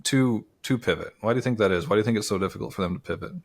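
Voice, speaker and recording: Fast-talking low-voiced male podcast host. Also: creaky. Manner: upbeat, repeats words and restarts often. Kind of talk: casual interview chat.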